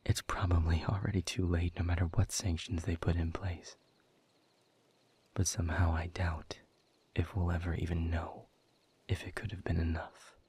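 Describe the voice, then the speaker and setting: A man playing a hopeless, exiled demon in an audio roleplay, weary, bitter and sardonic, with a husky, breathy voice. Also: deep voice, a little mellow, full character voice. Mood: bitter, fatalistic. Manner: slow, grave, resigned, sardonic. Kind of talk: intimate audio roleplay acting.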